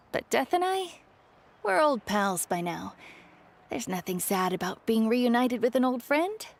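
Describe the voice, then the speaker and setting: A woman voicing an ailing veteran warrior at peace with death, speaking in a gentle, reflective, sighing way in a dramatic video game scene. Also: medium pitch. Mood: serene, reflective. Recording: music behind the voice. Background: game music.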